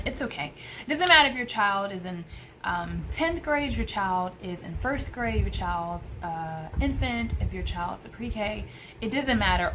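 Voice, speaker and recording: A woman in her thirties talks casually to the camera as a homeschool vlogger, with a medium-pitched voice. She gives advice in an emphatic way, repeating words for stress. It is recorded at home on a camera microphone, with noticeable noise in the background. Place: small room at home by a window. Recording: clearly noisy room recording.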